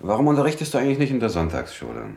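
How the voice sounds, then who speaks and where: A man playing a gruff, sardonic police officer who needles an old acquaintance in a radio drama. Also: mid-range voice, wildly swooping pitch, racing, a little mellow, echoey room. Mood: gruff, mocking.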